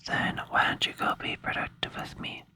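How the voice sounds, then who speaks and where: A person rambling in a breathy whisper close to the microphone for ASMR, sounding sleepy and hesitant, with a playful, soothing way of talking. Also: normal speed. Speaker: ASMR creator.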